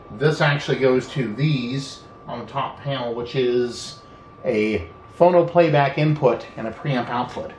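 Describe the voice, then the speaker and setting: In an echoey room with a faint bed of noise, a man walks through a hands-on repair without a script. He thinks aloud as he goes, unhurried, often pausing and letting phrases trail before picking them up again. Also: medium pitch. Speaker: hobbyist video presenter. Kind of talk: unscripted hands-on repair walkthrough.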